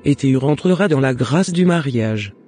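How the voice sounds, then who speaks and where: Mellow, pious motherly counsellor, acted by a man. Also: medium pitch, lively intonation, soft background music, close to an everyday voice. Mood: encouraging. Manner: didactic, measured. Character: wise motherly older woman counsellor, calm and pious. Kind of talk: scripted animated drama, preachy counsel.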